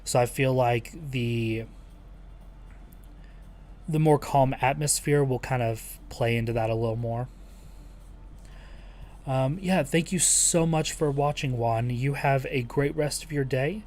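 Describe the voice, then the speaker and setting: A man with a soothing, calm voice chatting casually with livestream viewers in a chill, low-energy, halting way. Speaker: live streamer.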